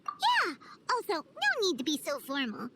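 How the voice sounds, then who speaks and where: A woman voicing a small, childlike fairy companion in a video game, cheerful, excitable and self-important, with a chirpy manner. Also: very high voice, measured pace, very noisy, heavily acted. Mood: excited, proud. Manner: speaks of herself in third person, chirpy.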